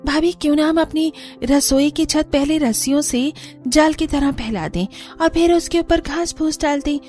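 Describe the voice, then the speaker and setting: A woman's voice: sits high, normal speed, darkish tone, soft background music, voice pushed up, lightly acted. A woman voicing a cheerful, determined young village woman in a cartoon, earnest and eager. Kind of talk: animated Hindi cartoon story acting.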